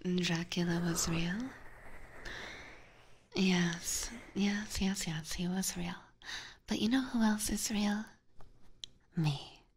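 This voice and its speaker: A woman's voice: on the low side, steady tone, slightly rough, full character voice. A woman voicing a seductive, teasing vampire catgirl in an ASMR roleplay, whispering breathily and coaxingly right up close to a binaural microphone. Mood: coaxing, possessive. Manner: slow, coaxing, playfully menacing. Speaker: streamer playing a character for live chat.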